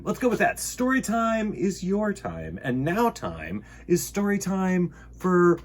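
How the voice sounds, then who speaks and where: Guy doing kids storytime, playful and theatrical, super lively pitch, slow pace, bit husky. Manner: playful, rambling wordplay, theatrical delivery. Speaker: storytime host. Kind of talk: children's picture-book read-aloud.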